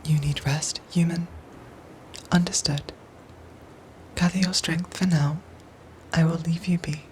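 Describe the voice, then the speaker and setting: Actress as caring robot soldier: hushed, breathy whisper, formal measured diction, comforting ASMR audio drama, with noticeable ambient noise behind. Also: female voice, low voice. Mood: gentle, protective. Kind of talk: whispered ASMR roleplay acting.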